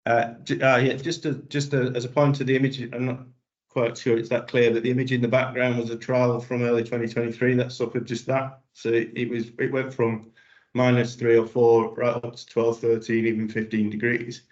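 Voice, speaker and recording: A man speaks as a plant breeder in a webinar, halting and restarting his sentences. His delivery is very flat, with little rise or fall. The sound is muffled and lo-fi. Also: medium pitch. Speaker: vegetable breeder presenting a webinar. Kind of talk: explanatory presentation. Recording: dull lo-fi webinar audio.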